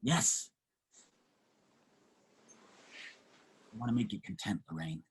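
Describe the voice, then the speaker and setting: Man acting a husky, pleading homeless man. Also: fairly low pitch, expressive, takes it slowly, full character voice. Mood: hopeful, urgent. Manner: earnest, colloquial, pleading. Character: rough-spoken, yearning homeless man, pleading and tender. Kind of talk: dramatic table reading.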